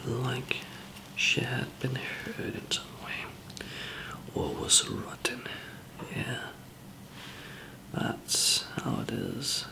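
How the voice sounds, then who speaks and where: A man playing a calm, easygoing survival trainer in an ASMR zombie apocalypse roleplay, whispering softly and hesitantly with a gravelly, breathy voice. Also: medium pitch, heavily acted, rough recording. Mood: quietly instructive. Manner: hesitant, halting, soft-spoken. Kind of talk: ASMR roleplay, improvised monologue to listener.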